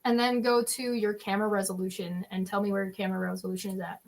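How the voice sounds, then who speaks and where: A slightly hoarse woman chatting on a stream, playful and teasing in her requests and quips. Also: mid-range voice, level tone, quick pace. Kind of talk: casual chat on a stream. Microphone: new microphone on a video call.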